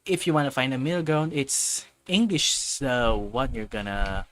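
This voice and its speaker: A man's voice: medium pitch, lively intonation, moderate pace, fairly bright tone. A man from the Philippines hosting a casual livestream, rambling, correcting himself and circling back to his point. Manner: frequent restarts and self-corrections. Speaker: livestream host. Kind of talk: casual livestream chat, answering viewers.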